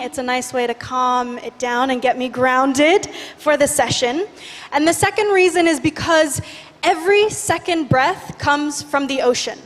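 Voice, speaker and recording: A 21-year-old woman from Indonesia giving an energetic, lively talk on a conference stage. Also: high voice. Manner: lively, guides the audience in breathing. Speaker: youth speaker. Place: large conference hall stage. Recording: reverberant hall.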